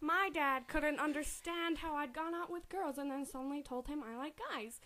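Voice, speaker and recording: A woman's voice: high voice, moderate pace, sharp tone. A woman voicing earnest, hesitant members of a teen support group in an amateur dramatic reading.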